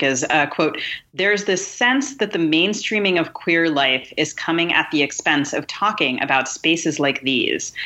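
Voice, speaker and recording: A woman's voice: sits low, pushed and bright. A woman co-hosting a podcast, reading a quotation aloud. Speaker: podcast co-host.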